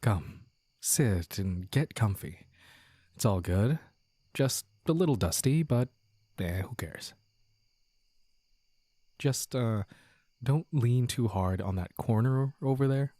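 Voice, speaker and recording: A man playing a playful, teasing young man in an intimate romantic audio roleplay, with halting banter and mock-dramatic asides. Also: medium pitch, animated, dark tone, dry recording. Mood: warm, nostalgic. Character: playful, teasing young man sneaking out with his childhood sweetheart.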